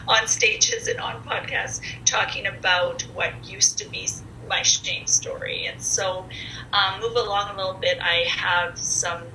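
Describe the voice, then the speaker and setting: Loud, bright-voiced woman in her forties. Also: mid-range voice, steady tone, a little slow. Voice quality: loud, comfortable speaking voice. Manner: chatty and candid, with frequent fillers. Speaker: podcast guest. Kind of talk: casual conversational storytelling. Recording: echoey room with faint noise.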